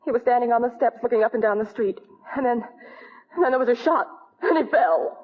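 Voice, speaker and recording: An actress playing a fragile, distraught young wife, anguished and pleading in 1940s radio melodrama style. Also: female voice, a little high, fairly quick, close to an everyday voice. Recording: tinny 1940 radio broadcast.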